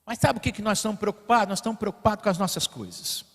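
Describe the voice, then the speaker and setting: High-voiced Brazilian pastor preaching in a church. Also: male voice, animated, very fast, in a large hall. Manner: rising to emphatic peaks, then dropping to quiet asides; directs the slide operator mid-sermon. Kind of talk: sermon. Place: church sanctuary.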